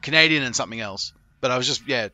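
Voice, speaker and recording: A man's voice: medium pitch, quick pace, pushed and bright, a little hiss or hum. A man in his thirties coaching on a stream, reviewing a player's replay with sarcastic, profane jokes, talking into a headset at home. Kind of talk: live-streamed replay commentary.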